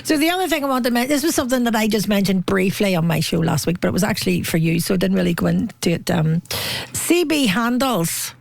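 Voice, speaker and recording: A woman's voice: medium pitch, animated, fast, vocal fry, dry recording. A woman with a Northern Irish accent, chatting casually as a talk show co-host. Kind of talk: casual conversational chat.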